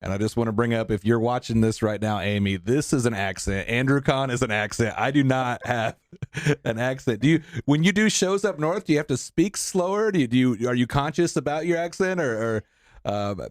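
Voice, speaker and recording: A man's voice: medium pitch, brisk. A man with an Indiana accent hosting a podcast, joking and poking fun at himself. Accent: Midwestern US, Indiana. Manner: joking, self-mocking. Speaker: podcast host. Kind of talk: casual podcast chat.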